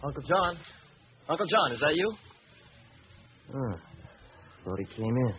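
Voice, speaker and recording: A man's voice: on the high side, sing-song, measured pace, dull audio. An actor playing a jittery, wary, questioning man in a 1940s-style radio drama, on an old recording. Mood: preoccupied.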